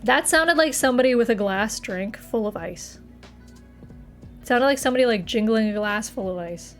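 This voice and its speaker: A woman's voice: fairly high pitch, lively intonation, moderate pace, faint music behind. A young woman from Massachusetts, chatting casually with her live chat from her apartment, giggling and trailing off mid-sentence. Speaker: streamer.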